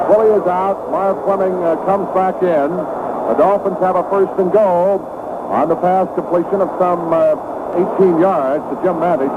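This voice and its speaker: A middle-aged man calling a football game live on radio, with quick excited play calling that climbs higher on big plays. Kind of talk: live radio sports commentary. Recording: tinny old radio broadcast with heavy hiss.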